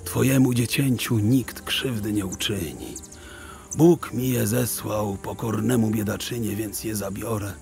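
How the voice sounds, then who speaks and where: A gentle, pious old traveler from an audio drama, speaks in a hushed, gravelly voice. He is solemn and humble, taking his words slowly while music plays beneath. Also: fairly low pitch. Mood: sorrowful, tender. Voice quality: hushed, half-whispered, creaky. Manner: gentle, solemn, slow speech.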